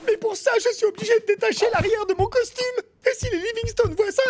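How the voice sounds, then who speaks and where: Squeaky, very high cartoon boar. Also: female voice, fast, darkish tone. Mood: worried. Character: anxious childlike wild boar disguised as a cat. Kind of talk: French cartoon dubbing.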